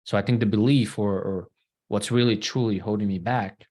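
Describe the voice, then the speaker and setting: A man's voice: lowish voice, fairly even intonation, moderate pace, darkish tone. A man taking part in a workshop, sharing his thoughts in a reflective way and laying out steps one by one. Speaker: workshop participant. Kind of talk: reflective personal share.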